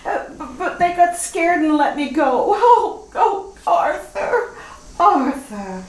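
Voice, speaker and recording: A woman voicing a shaken young bride in an old-time radio play, high and gushing with a slow, tearful delivery. She sounds off mic in an echoey room. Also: big pitch swings, recorded from a distance, full character voice. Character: shaken young bride, rescued kidnap victim. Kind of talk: retro radio drama acting.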